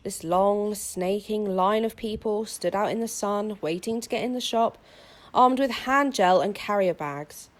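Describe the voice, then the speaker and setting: A mid-pitched female voice of an actress tells a diary story for a short film. She is a wry, bemused shopper recounting small daily trials in a scripted monologue. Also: a little slow, natural voice. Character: wryly amused woman shopper recounting daily hardships.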